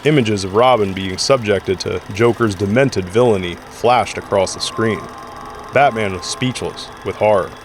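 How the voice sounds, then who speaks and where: A man's voice: on the low side, moderate pace, slight background noise. A man narrating an audio drama in a dramatic, scripted storytelling style. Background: dramatic music.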